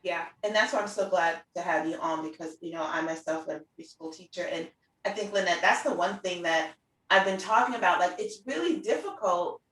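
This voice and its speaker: A woman's voice: fairly low pitch, brisk, fairly bright tone. A middle-aged woman chatting casually as an interview host, telling stories and acting out what people said. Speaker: interview host and preschool teacher. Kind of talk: casual conversational chat. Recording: roomy echo, distant from the mic.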